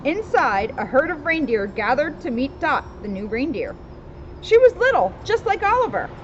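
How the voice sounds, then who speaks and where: A woman's voice: high-pitched, very animated, moderate pace. A woman reading a children's storybook aloud with casual asides, recorded outdoors. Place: outdoors in a town street. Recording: dull outdoor recording with loud street noise.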